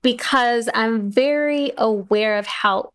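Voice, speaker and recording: A woman's voice: a little high, takes it slowly, smooth. A woman playwright talking as an interview guest, telling of her work. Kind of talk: storytelling in an interview.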